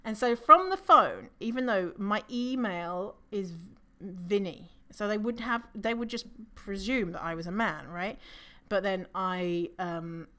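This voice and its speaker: A non-binary podcast host with a low voice, talking in a casual, rambling solo monologue with fillers, self-corrections and casual swearing. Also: measured pace, few pauses, bright, forceful tone. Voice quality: low voice for a woman's range.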